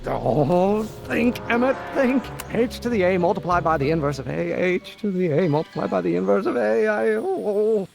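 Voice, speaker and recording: A man playing an intense, excitable young scientist inventor who mutters and exclaims, in a video game. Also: high-pitched, fast talker, soft background music. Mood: muttering, absorbed. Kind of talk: animated video game character acting.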